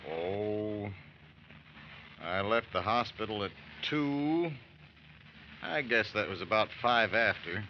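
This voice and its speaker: An actor playing a helpful small-town doctor in an old radio crime drama, recalling things in an unhurried, folksy way. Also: male voice, on the low side, expressive. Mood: helpful recollection. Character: helpful small-town male doctor giving witness account. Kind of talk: 1940s-50s radio crime drama acting. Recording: dull, hissy old radio recording.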